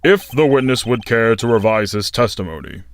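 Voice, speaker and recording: A man's voice: mid-range voice, expressive, moderate pace, no echo, close to an everyday voice. A man voicing a stern older judge in a video game dub, formal and authoritative. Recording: music under the voice.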